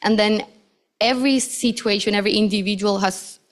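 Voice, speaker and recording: A woman's voice: mid-range voice, steady tone, a little fast. A young woman in her twenties from Costa Rica, sharing her own story on a conference panel in a casual, chatty way full of fillers. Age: 20s. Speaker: patient panelist. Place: conference hall.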